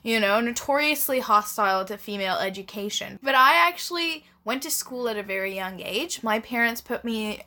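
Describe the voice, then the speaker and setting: A woman from Dubai co-hosting a casual podcast, talking in a fairly high, very bright voice. She is wry and self-deprecating and swears offhandedly as she chats with her friend. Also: expressive, moderate pace, hardly any pauses. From grew up in Dubai, UAE. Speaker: podcast co-host.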